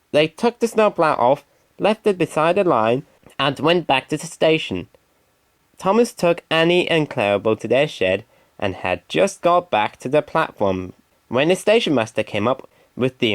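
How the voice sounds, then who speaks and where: A man's voice: on the high side, very animated, normal speed, no echo. A man reading a scripted children's story as narrator.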